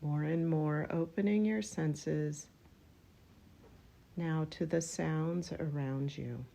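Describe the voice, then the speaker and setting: A woman with a low, mellow voice guides a relaxation meditation. She speaks very slowly in short, gentle phrases, leaving room between them. Her delivery is unhurried and instructional, with a heavy creak in the voice. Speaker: yoga nidra meditation guide.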